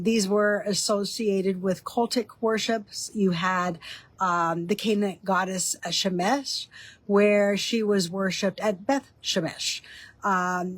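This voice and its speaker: A woman's voice: mid-range voice, slightly rough. Slightly nasal, stuffy woman explaining. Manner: relaxed and conversational, with frequent ums and restarts. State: stuffy from a cold. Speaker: author and teaching host. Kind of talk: casual teaching talk.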